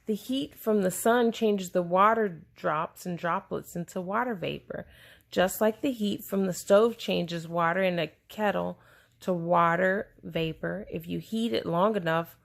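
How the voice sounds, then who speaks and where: Woman, children's book read-aloud, unhurried pace, gravelly fry, simple deliberate phrasing. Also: fairly low pitch.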